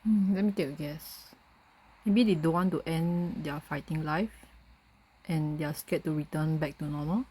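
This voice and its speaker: A woman's voice: deep voice, steady tone, moderate pace, mellow, breathy edge. A woman giving casual commentary as she plays through a video game. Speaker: game streamer. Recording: noisy mic over game sound.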